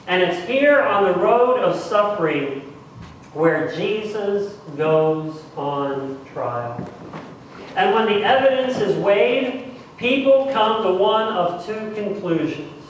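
Middle-aged man as pastor, deliberate sermon with repetition for emphasis, very reverberant church, distant mic. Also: high-pitched, expressive. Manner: deliberate, dramatic pauses and repeated phrases for emphasis. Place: church sanctuary, speaking at the front. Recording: echoey church, distant mic, faint noise.

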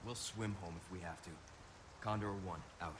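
A man playing a stoic, protective agent in a video game, speaking in a terse, calm, dry manner. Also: deep voice, normal speed, noisy background, lightly acted. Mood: calm, determined. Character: stoic, protective young male action hero agent. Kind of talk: video game character acting.

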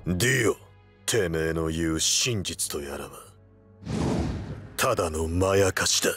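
A man voicing a stoic, tough young delinquent hero in a Japanese video game, terse and rough-spoken with cool defiance. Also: low voice, animated, measured pace, over music. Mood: cold anger.

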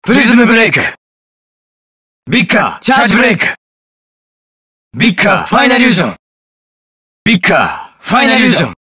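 A man's voice: high voice, quick pace, pauses often, husky, no room sound, clean studio sound, exaggerated voice. A young man playing a cool, inquisitive detective superhero in a video game, with precise, teasing delivery. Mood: shouting attack calls. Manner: calm, precise, smug and curious delivery. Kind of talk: Japanese video game voice acting, short lines.

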